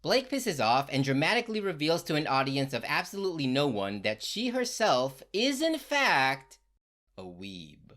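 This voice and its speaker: A woman's voice: sits low, a bit bright. A woman delivering a casual, sarcastic comedic recap narration, full of slang and swearing.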